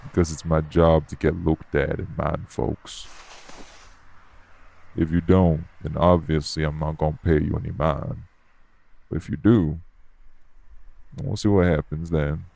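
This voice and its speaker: Casting: male actor as aloof nightclub employee, gravelly and unhurried, blunt audio-drama delivery. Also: rumbling low, steady tone, darkish tone, exaggerated voice. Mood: cool, dismissive. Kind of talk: audio roleplay acting.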